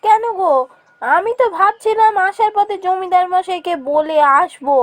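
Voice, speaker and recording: Village housemaid and wife for a cartoon, acted by a woman: high, caring, fretful, deferential. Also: normal speed, no room sound. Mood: worried, caring. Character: caring, worried village wife working as a maid. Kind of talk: cartoon voice acting.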